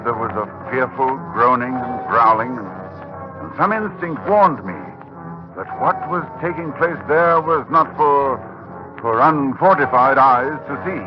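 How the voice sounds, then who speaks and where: A man playing a learned, shaken older professor in 1940s radio horror, speaking in a halting, grave, dramatic way. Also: mid-range voice, lightly acted. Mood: urgent. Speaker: narrator and lead actor. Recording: muffled 1940s radio recording with music.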